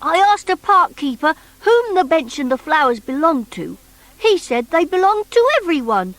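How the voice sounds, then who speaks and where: A woman's voice: high-pitched, big pitch swings, normal speed, fairly bright tone, dry recording, pitched above the performer's own voice. A woman voicing a mischievous male monkey who is eager to please, pleading and defensive, in a children's storybook. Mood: apologetic, pleading.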